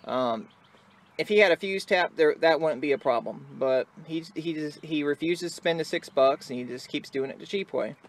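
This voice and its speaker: A man with a slightly higher-than-average voice talks through a hands-on tutorial in a casual, unscripted way. He is plain-spoken and sounds occupied with the job as he explains it. The handheld recording from a truck cab carries faint vehicle noise. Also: moderate pace. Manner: plain-spoken, hands busy while talking. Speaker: amateur video maker giving a how-to. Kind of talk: casual improvised tutorial talk. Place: in and around a pickup truck cab. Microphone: handheld phone or camera close to the work.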